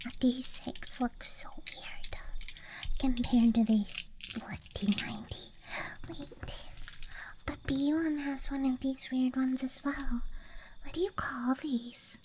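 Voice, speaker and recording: A young woman whispering softly and playfully into a close microphone in casual, rambling ASMR style, musing aloud to herself. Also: sits high, steady tone, slow. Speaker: ASMR streamer. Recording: background noise, ambience with clicks. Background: night campground ambience, clicking of small objects.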